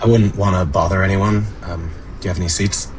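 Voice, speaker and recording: A man's voice: sits low, fairly even intonation, brisk, a little hiss or hum, natural voice. A man acting a broken, anxious outcast in a script reading, stammering and apologetic as he talks. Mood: timid, apologetic. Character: broken, anxious homeless man, halting and withdrawn.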